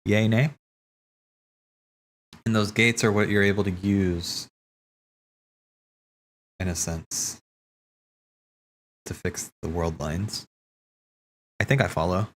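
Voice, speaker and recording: Rambling low-voiced man thinking aloud. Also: steady tone, slow pace, long pauses, poor audio. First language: English. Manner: rambling, thinks aloud with many fillers and restarts. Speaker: streamer reacting to game cutscenes. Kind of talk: casual livestream chat.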